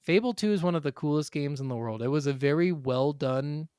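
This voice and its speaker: A man co-hosting a gaming podcast, talking in a casual, animated storytelling way with easy swearing. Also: a little high, brisk, clear, resonant tone, no room sound, clean studio sound. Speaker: podcast co-host.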